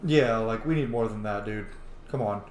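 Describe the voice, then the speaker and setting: A man's voice: on the low side, moderate pace, a little mellow. A man hosting a casual roleplay let's play, reacting irreverently and swearing freely. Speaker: gaming channel host. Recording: echoey room, faint game music behind. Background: faint game music.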